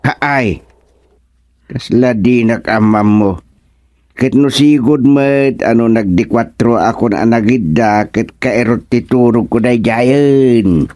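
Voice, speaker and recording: A man's voice: medium pitch, takes it slowly, darkish tone, no room sound, studio quality, natural voice. A man playing a comic, hungry, easily flustered male ghost in an Ilocano radio drama, bantering with exclamations and an Ilocano accent. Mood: grumbling.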